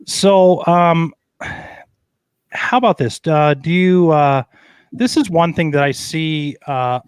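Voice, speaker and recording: A man's voice: on the high side, smooth, no room sound. A man hosting a casual parenting chat, often stumbling and repeating words as he talks. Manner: halting, repeats words, laughs easily. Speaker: host.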